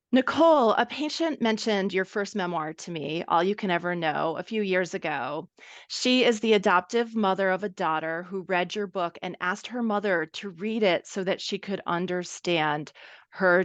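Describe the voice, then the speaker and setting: A woman hosting a podcast, reading a prepared introduction and asking measured interview questions. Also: lowish voice, no room sound. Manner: measured, reads prepared remarks. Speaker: podcast host.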